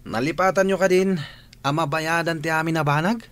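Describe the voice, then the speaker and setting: A man's voice: a little high, steady tone, brisk, fairly bright tone, no echo, lightly acted. A man playing a cocky, lazy student in a radio drama, talking in a flippant, boastful, pleading way. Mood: cocky, assuring. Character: cocky, lazy male student, breezily self-assured. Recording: clear background noise.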